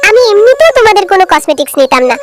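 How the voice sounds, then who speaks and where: A woman's voice: extremely high pitch, big pitch swings, very fast, loud music behind the voice. A woman voicing a wistful, kind little girl in a children's cartoon, with a pleading, childlike manner. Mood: hurt, defiant.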